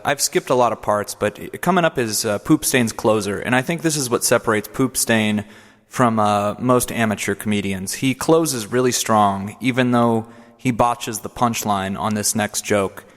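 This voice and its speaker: A man's voice: lowish voice, moderate pace, a bit bright, in a large hall. A man narrating a comedic radio play in a hesitant, filler-heavy, dry manner. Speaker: narrator and host.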